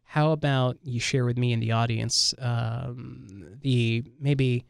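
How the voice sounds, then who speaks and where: A man's voice: medium pitch, fairly even intonation, slow pace, gravelly, smooth, dry recording. A man hosting an interview podcast, welcoming his guest and asking follow-up questions with many fillers. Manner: warm, many "uh" and "um" fillers, eager follow-ups. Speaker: podcast host. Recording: dry close studio mic.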